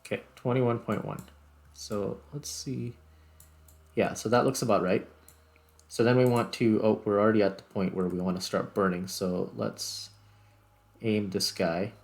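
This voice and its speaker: Low-pitched man narrating his gameplay. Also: fairly even intonation, vocal fry. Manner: thinking aloud in short, halting phrases. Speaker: gaming video host. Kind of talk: casual gameplay commentary.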